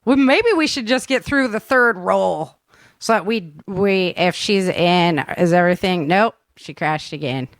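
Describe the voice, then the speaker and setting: A woman's voice: medium pitch, expressive, measured pace, no room sound, studio quality. A woman hosting a casual, chatty conversation. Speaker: podcast host, author. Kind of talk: casual chat.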